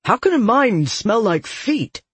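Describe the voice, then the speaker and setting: Fantasy audiobook role: gruff, short-fused male warrior, urgent, husky delivery, performed by a woman. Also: on the high side, very animated, unhurried, a bit bright, dry recording, voice pushed up, exaggerated voice. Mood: indignant. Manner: urgent, indignant.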